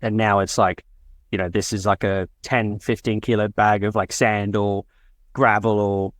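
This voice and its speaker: A man's voice: lowish voice, flat delivery, measured pace, no room sound, studio quality. A man co-hosting a podcast, chatting casually and rambling with lots of fillers. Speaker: podcast co-host. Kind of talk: casual chat.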